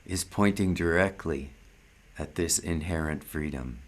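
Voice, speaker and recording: A man with a deep, low voice teaches at a very slow pace. He delivers a meditative spiritual talk in short, deliberate phrases, leaving long pauses between them. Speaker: spiritual teacher leading satsang.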